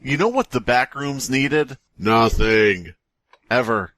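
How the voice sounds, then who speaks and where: A man co-hosting a podcast with casual, mocking, deadpan comic banter. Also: medium pitch, slow pace, no room sound. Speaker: podcast co-host.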